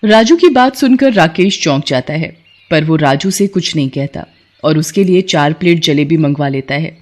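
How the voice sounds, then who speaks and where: A woman's voice: deep voice, animated, normal speed, a little mellow. A woman reading scripted narration for a children's moral story.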